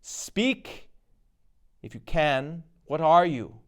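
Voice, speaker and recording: A man's voice: on the high side, expressive, drawn-out words, pitched above the performer's own voice. A man playing a startled, commanding warrior thane in a dramatic Shakespeare reading, declaiming and demanding answers.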